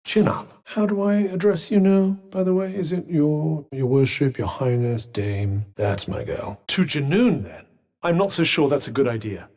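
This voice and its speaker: A man's voice: a little high, lively intonation, normal speed, darkish tone. An actor voicing a sentient magical cuff in a video game, a dry, witty and protective male companion with a British accent and a formal, well-spoken, advising manner. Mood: dry concern. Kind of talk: scripted game dialogue. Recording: long reverberant tail on voice.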